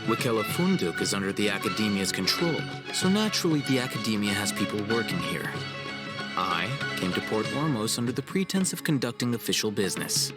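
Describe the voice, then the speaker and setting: A man's voice: on the low side, sing-song, brisk, over loud music. An actor playing a cool, shrewd young male scholar in a video game, composed and matter-of-fact. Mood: cool, assured. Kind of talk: video game cutscene acting.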